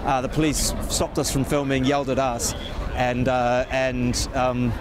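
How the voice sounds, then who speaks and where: A man's voice: mid-range voice, level tone, a little slow. A man in his thirties, a news bureau chief, explaining events in an on-location interview outdoors in a large public square, thoughtful and full of restarts. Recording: outdoor noise behind the voice.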